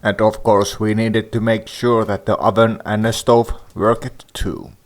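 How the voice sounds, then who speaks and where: Slow-spoken Finnish man narrating. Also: on the low side, level tone. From Finland. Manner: plain, careful non-native phrasing. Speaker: sailing vlogger. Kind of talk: dubbed voice-over narration. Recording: voice-over with music behind.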